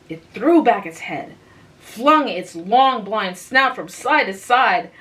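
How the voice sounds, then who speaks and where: A woman reading a literary short story aloud in a calm, measured, soft manner. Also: medium pitch, poor audio. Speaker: reader.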